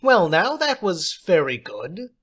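A man's voice: high voice, wildly swooping pitch, takes it slowly, a bit bright, breathy edge, pitched above the performer's own voice, exaggerated voice. A man voicing an eccentric, jolly woman substitute teacher in an audiobook story, precise, theatrical and condescending. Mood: airy, breezy.

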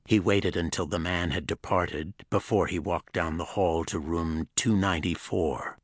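Male narrator, very low pitch, husky grain, scripted pulp-fiction storytelling, audiobook delivery. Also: steady tone, unhurried, no room sound, clean studio sound.

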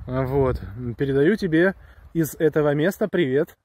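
Rambling enthusiastic man, slightly high voice. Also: normal speed, darkish tone. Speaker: vlogger reviewing a walkie-talkie. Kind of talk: casual outdoor vlog, chatting to viewers. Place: outdoors on a grassy hillside with pines. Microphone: handheld phone.

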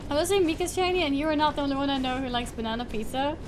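A woman's voice: high voice, very fast. A young adult woman chatting casually while streaming a game. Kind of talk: casual gaming chat. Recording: clear background noise, keyboard typing.

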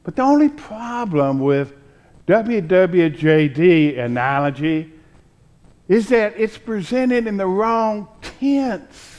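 An older man preaching a sermon from a pulpit, deliberate and emphatic, pausing between phrases. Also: sits high, lively intonation, a little mellow, muffled recording. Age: 60s or older. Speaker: pastor preaching. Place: church sanctuary, at a pulpit with choir behind.

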